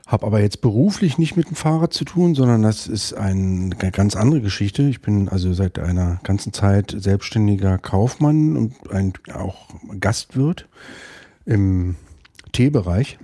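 A middle-aged man with a Berlin accent, guesting on a podcast and talking in a thoughtful, hesitant way with many pauses and restarts. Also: on the low side, lively intonation, dark tone, dry recording, studio quality. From West Berlin, Germany. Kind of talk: casual interview conversation.

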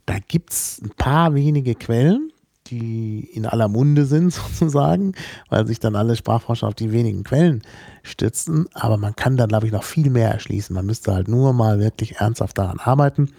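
A man from Hamburg being interviewed about languages, explaining slowly and thoughtfully, with many fillers and restarts. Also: mid-range voice, gravelly, warm tone, dry recording, clean studio sound. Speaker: linguist guest. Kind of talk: relaxed spoken explanation in an interview.

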